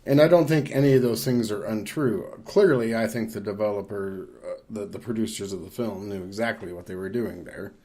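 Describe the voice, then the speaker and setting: Man, podcast co-host, slightly low pitch, casual discussion, measured, thinking aloud. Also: warm tone.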